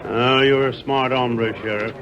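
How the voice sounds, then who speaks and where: A man playing a high-handed, scheming rancher with an American Western accent in 1930s radio acting. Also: fairly even intonation, a little slow, close to an everyday voice. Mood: smooth menace. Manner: folksy drawling cowboy talk, bossy. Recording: dull old radio, crowd behind.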